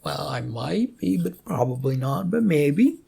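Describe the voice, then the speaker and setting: A narrator as Zip Zog, a needling husky god. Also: mid-range voice, big pitch swings, measured pace, dark tone, heavily acted. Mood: evasive. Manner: needling, insistent. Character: Zip Zog, pushy, mischievous, evasive god. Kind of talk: amateur story reading in character.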